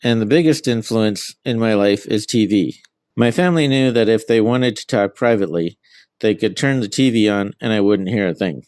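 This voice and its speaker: A man's voice: lowish voice, moderate pace, darkish tone, no echo, studio quality. A middle-aged man being interviewed as an author, giving answers about their own work.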